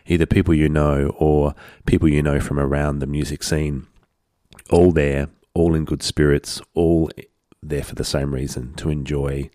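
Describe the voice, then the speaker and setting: A man hosting a community radio music show, relaxed and rambling with lots of fillers, sounding congested from a head cold. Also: extremely low pitch, no room sound, clean studio sound. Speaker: radio host. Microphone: studio broadcast mic.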